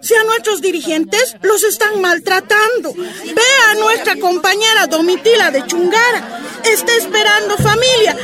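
A woman's voice: very high-pitched, a little slow, crowd noise. A woman playing a defiant miner's wife in a radio drama. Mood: indignant. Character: defiant Bolivian miner's wife, protesting fiercely.

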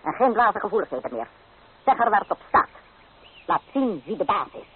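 An actress playing a domineering, scolding old grandmother with a sharp tongue in a radio play. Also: medium pitch, very animated, brisk, noisy background, close to an everyday voice. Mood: sarcastic, bossy. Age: older. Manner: commanding, sharp-tongued, sarcastic. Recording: tinny, band-limited old radio play.

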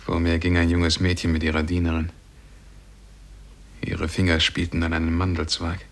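An actor playing a lovesick, melancholy man who tells the story of his youth in a measured, mournful way, in 1950s German radio drama style. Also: low-pitched, completely flat delivery. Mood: reproachful, wistful.

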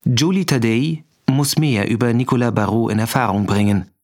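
An actor playing a terse announcer of chapter headings in a crime radio drama. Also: male voice, mid-range voice, moderate pace, noisy background, lightly acted. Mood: factual, terse. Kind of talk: scripted radio drama narration. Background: music.

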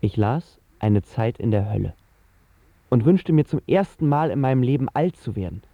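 A man's voice: medium pitch, animated, moderate pace, dry recording, highs cut off. An actor playing a lovestruck, self-doubting seventeen-year-old boy who tells his story in casual slang for a radio drama. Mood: brooding, restless. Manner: slangy, colloquial. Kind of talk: scripted first-person radio drama narration.

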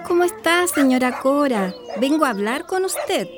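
High, swooping gossipy Chilean neighbour played by an actress. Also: female voice, expressive, normal speed, over music. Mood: polite, eager. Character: gossipy neighbour woman, insisting she is no busybody. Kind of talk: radio drama acting. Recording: noisy recording with clicks.

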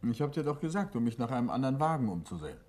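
A cool-headed gentleman detective from an old radio drama, his voice rising and falling with lively, playful turns. He teases dryly and gives little away, keeping his tone understated. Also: mid-range voice, racing. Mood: teasing. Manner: dry, teasing, understated. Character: wry, calm adult male detective, secretive. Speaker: amateur detective. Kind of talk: 1950s radio play acting.

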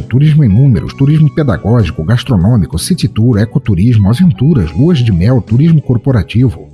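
A man's voice: lowish voice, mellow. A man hosting a podcast, addressing listeners in a scripted, theatrical and wry, wordy style. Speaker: podcast host. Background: background music.

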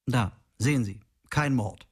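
A dry, factual pathologist. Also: male voice, mid-range voice, a little slow, darkish tone, slightly rough. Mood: matter-of-fact. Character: matter-of-fact male pathologist.

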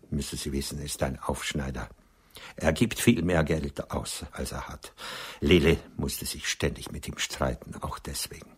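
An actor playing a melancholy older male writer in a radio play, confessing bitterly in a halting, resigned way, his breathy voice sinking toward a whisper. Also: a bass voice, full character voice.

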